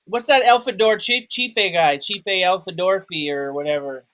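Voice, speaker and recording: High-voiced man rambling about movies. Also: normal speed, a crowd in the background. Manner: rambling, searching for words. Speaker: film review co-host. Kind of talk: casual movie discussion.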